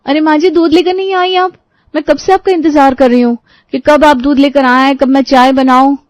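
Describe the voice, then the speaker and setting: A woman's voice: high-pitched, steady tone, moderate pace, no echo, voice pushed up, lightly acted. Storybook role: young Indian daughter-in-law a woman performing, polite, persuasive, reassuring, close dry sound. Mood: puzzled, pleading. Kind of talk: animated moral storybook acting.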